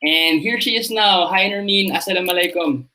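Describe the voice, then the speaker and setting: Halting male host on a video call. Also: high voice, pushed and bright, poor audio. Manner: halting, many fillers. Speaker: interview host. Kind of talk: hosting a video-call interview.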